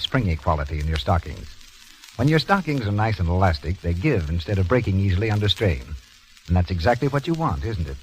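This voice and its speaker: A male announcer delivering polished, scripted introductions and sponsor pitches in 1930s radio style, on an old broadcast recording. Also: poor audio.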